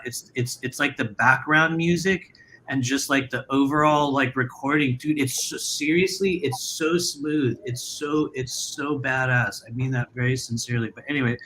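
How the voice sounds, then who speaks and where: A man hosting an online panel in a relaxed, slangy way, his voice sitting at a middling pitch. Faint background noise hums beneath him on the call. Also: rough recording. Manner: laid-back, enthusiastic slang. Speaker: host.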